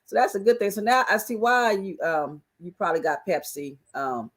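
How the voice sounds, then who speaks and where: This is an easygoing man whose voice has gone hoarse, chatting casually as a co-host. He rambles, loops back with plenty of fillers, and sounds relaxed and unhurried. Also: sits high. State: hoarse, losing his voice. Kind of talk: casual chat.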